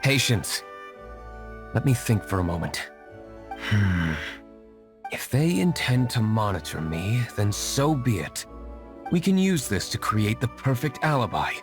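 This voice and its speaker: A man's voice: on the low side, sing-song, unhurried, long pauses. A man voicing a composed, reserved young nobleman who runs a winery, speaking formally in video game acting. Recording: game audio with music behind.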